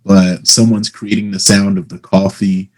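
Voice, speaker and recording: A man hosting a casual interview, talking haltingly as he searches for words. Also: low-pitched, flat delivery, crowd noise. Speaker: interviewer, host.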